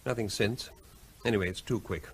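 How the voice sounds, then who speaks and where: A brisk, matter-of-fact veterinary officer in a radio play. His voice sits low and clipped. Faint hiss under it. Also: vocal fry. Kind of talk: radio drama acting.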